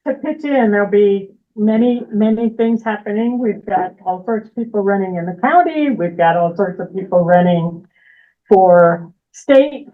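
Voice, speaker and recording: A woman giving a political action report at a community meeting, laughing easily. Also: on the high side. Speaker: political action team lead. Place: meeting room. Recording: tinny, phone-like audio.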